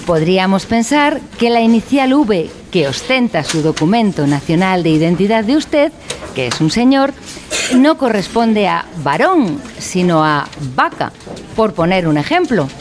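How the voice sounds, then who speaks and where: A woman's voice: medium pitch, a little slow, background noise. A woman playing an earnest, formal instructor in a satirical educational radio drama. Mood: deadpan, pedantic. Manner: didactic, deadpan, formal. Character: earnest female instructor of an absurd mock educational course.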